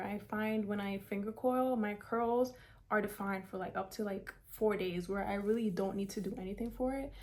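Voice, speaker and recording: A woman's voice: medium pitch, a little mellow. A woman in her twenties from South Florida, chatting casually through a hair tutorial with many "like" fillers. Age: 20s. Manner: chatty, upbeat, lots of "like" and "kinda". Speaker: beauty vlogger.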